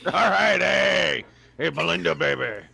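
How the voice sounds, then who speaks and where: A man playing a gruff old suitor with a gravelly voice, courting a woman. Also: on the high side, animated, measured pace, bright, forceful tone, heavily acted. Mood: smarmy. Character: gruff old male suitor named Morty.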